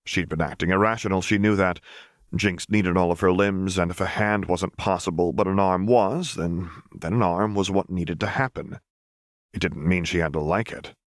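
A man's voice: low-pitched, normal speed, dry recording, clean studio sound. A man reading scripted fiction as an audiobook narrator.

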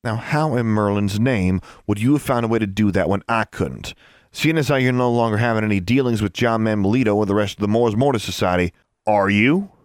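A man acts out a young male wizard for an audiobook. He is a protective older brother with a quick temper. His words come out snapped or growled, recorded dry and close. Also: fairly low pitch, no echo, natural voice. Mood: angry. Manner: growling, snapping, sighing through clenched teeth.

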